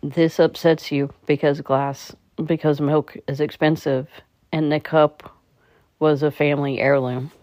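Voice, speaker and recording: A woman leading a guided meditation in a calm, measured, soothing manner. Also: sits low, no echo.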